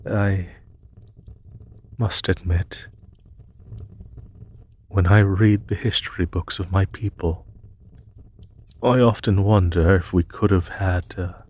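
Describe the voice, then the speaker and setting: A man playing a solemn, wistful demon emperor in an audio roleplay, speaking in a husky voice with slow, measured, sorrowful pauses. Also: deep voice, a little mellow, heavily acted. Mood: resigned, reflective. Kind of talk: scripted audio roleplay monologue.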